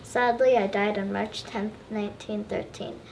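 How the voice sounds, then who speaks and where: A woman's voice: mid-range voice, fairly even intonation, moderate pace, gravelly, darkish tone. A girl of about eight or nine reciting a first-person school presentation as a historical figure. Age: child, about 8 or 9. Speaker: third grade student presenter. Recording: distant mic, faint room noise.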